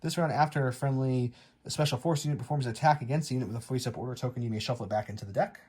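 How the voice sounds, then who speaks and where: A man's voice: mid-range voice, racing, a little mellow, breathy edge. A man playing a tabletop wargame, casually explaining his moves and dice rolls as he goes. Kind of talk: casual battle report play-by-play.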